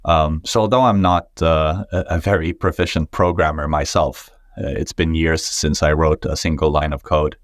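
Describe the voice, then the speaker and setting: Swedish-born male tech founder, very low voice, measured and thoughtful, close dry mic. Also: steady tone, dry recording. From Sweden. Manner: thoughtful, with frequent uh fillers and restarts. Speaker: guest, tech startup founder. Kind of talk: podcast interview answers.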